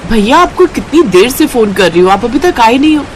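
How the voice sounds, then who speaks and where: A woman's voice: fairly high pitch, very animated, fairly quick, noisy background. A woman voicing a stubborn, impatient young mother in a cartoon story, speaking insistently. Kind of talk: Hindi cartoon story voice acting.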